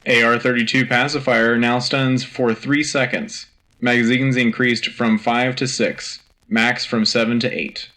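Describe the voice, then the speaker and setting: A man playing an earnest, patriotic radio anchor reading military news in a crisp, urgent, official manner. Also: mid-range voice, level tone, unhurried, sharp tone. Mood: brisk, official. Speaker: radio host. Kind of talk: scripted military news bulletin.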